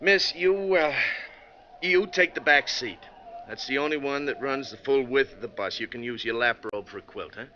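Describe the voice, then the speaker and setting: An actor playing a gruff, folksy American bus driver who takes charge in a 1940s radio drama. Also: male voice, mid-range voice, moderate pace, pushed and bright, natural voice. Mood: steady, blunt. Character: gruff, firm male bus driver, plain-spoken working man. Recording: muffled, noisy old radio recording.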